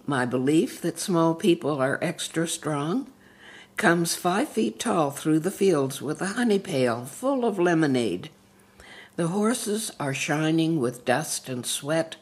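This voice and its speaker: Husky woman reading poetry slowly. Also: deep voice. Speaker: poetry reader.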